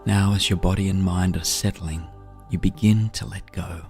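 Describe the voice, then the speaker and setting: A man guiding a meditation in a soft, breathy, soothing voice, gently and unhurriedly, with long pauses. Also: low voice, steady tone, faint music behind. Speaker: meditation guide. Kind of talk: guided meditation narration.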